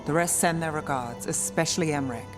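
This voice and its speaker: A woman's voice: low-pitched, brisk, natural voice. A woman playing a cool, wry and loyal companion in a video game, teasing and guarded but affectionate. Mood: loyal, reassuring. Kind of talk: video game dialogue acting. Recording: clear game music behind the voice. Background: game music.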